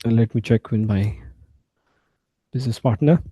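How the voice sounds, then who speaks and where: A man giving an informal software walkthrough, explaining step by step in a halting way. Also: medium pitch, husky, no room sound. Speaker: SAP instructor.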